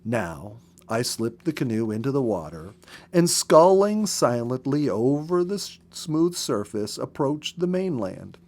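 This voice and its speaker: Expressive male storyteller reading aloud. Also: medium pitch, measured pace. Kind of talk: expressive narrated audiobook reading.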